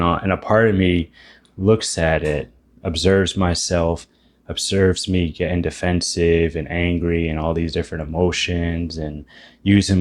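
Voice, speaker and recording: A man in his thirties with a very low, deep voice talks to his channel's viewers. He muses out loud about spiritual ideas, often starting a sentence over. His tone stays reflective and a little self-deprecating. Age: 30s. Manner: musing, self-deprecating, thinking aloud with restarts. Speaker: YouTuber.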